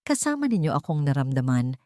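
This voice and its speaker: A woman narrating a scripted romance story in a sentimental, deliberate way. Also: deep voice, very animated, mellow, no echo, clean studio sound.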